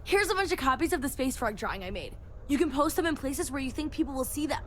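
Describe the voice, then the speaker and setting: High-voiced, eager little girl. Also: animated, fast talker, a little hiss or hum. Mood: delighted. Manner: eager, childlike, rambling. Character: cheerful, chatty young girl living on Mars. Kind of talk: video game character dialogue.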